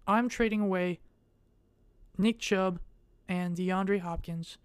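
A young adult woman vlogging in a casual, rambling way. She speaks in a monotone and hesitates often, with many fillers. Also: medium pitch, slow, smooth, dry recording.

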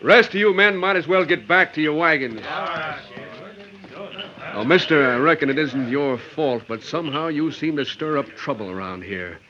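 An actor playing an earnest, folksy frontier wagon train leader with an American accent, in old radio western style. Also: male voice, fairly high pitch, animated, normal speed, pushed and bright. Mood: concerned. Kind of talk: 1940s-50s western radio acting. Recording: dull old radio recording.

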